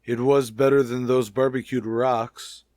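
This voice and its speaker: An actor playing a grumpy, exasperated middle-aged boss and husband in an old radio sitcom. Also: male voice, mid-range voice, little pitch movement, measured pace, a little mellow, no echo, studio quality, close to an everyday voice. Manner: exasperated, blustering. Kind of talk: 1950s radio sitcom acting.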